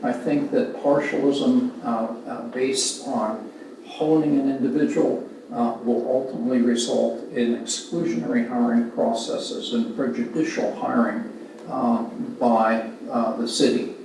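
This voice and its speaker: An older man giving public comment at a city council hearing, halting and full of fillers as he reads out budget figures. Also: medium pitch, fairly even intonation, a little mellow. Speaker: citizen speaking at public hearing. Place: city council chamber, large room. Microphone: podium mic from far camera. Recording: echoey hall, distant, noisy.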